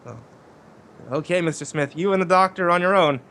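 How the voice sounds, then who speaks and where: A man acting a flustered, skeptical real estate agent in an old-time radio drama, talking casually with stammers and halting phrases. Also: high-pitched, a bit bright. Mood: dismissive, salesmanlike.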